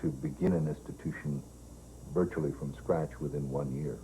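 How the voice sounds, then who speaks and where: A man's voice: very deep voice, fairly even intonation, normal speed, slight background noise, low-quality recording. A man earnestly explaining his college's plans in a documentary interview. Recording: documentary with loud music underneath.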